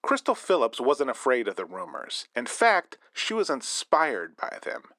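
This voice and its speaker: A man's voice: medium pitch, huge pitch swings, measured pace, bright, forceful tone, no room sound, studio quality, heavily acted. An actor playing a male radio host narrating a spooky story in scripted style. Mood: storytelling.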